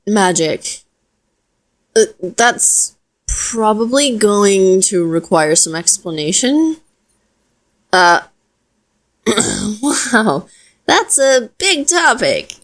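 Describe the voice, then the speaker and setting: Woman acting flustered, chatty young female wizard. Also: mid-range voice. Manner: conversational, hesitant, expressive. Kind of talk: scripted audio roleplay addressed to the listener.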